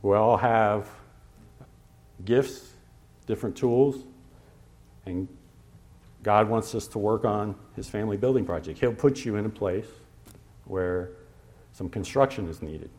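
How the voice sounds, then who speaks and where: A man preaching a sermon to his congregation in a conversational way, with pauses and fillers, slowing down to stress scripture references. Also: fairly low pitch, darkish tone. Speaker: pastor.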